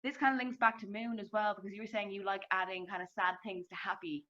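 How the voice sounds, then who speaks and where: A woman's voice: mid-range voice, steady tone, fast, bright, forceful tone, lo-fi sound. A woman interviewing a guest in an enthusiastic, chatty way, full of fillers. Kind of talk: casual interview chat.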